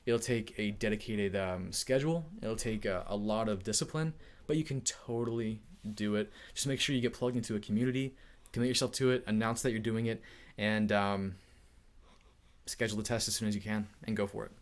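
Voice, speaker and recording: A man's voice: lowish voice, steady tone, brisk. A man hosting a casual live-stream Q&A, upbeat and chatty, laughing often, feeling hot. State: overheated. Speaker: tech YouTuber hosting a live Q&A.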